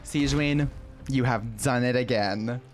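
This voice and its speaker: Playful streamer, middling-low voice, reacting casually. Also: a little slow, faint music behind. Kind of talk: casual game stream commentary.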